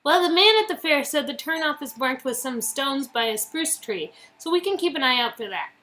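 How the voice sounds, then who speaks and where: A woman voicing an eager, curious, teasing young woman in a romance game, perky and expressive. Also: high voice, a little fast. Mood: upbeat. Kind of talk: visual-novel dialogue reading.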